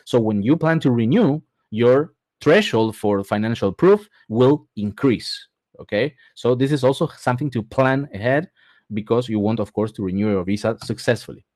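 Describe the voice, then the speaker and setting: Argentine guy running a webinar, mid pitch, dead dry mic, keeps saying okay?. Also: normal speed, a little mellow, no echo. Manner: repeats words for emphasis, frequent "okay?" check-ins. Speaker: immigration advisor presenting a webinar. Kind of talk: explanatory webinar presentation.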